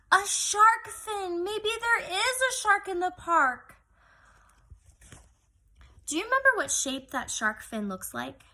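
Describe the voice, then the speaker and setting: A woman with a high, bright voice speaks as a teacher of young children. She takes her time, in a singsong read-aloud style, posing questions to her listeners as she goes.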